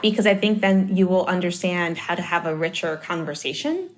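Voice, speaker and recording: A woman's voice: lowish voice, brisk, slight background noise. Thoughtful woman with many fillers. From Dominican Republic. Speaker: marketing guest. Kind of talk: casual conversation.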